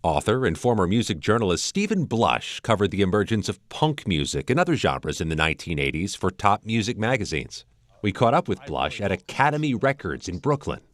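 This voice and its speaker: A man's voice: fairly low pitch, very animated, fairly quick, dry recording. An American man narrating a scripted television news feature as a correspondent. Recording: music bed under voice. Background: music.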